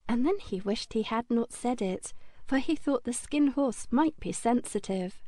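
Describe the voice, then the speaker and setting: A woman's voice: on the high side, expressive, a little slow, warm tone, no echo, studio quality. A woman reading a children's story as an audiobook narrator, in a scripted storytelling style.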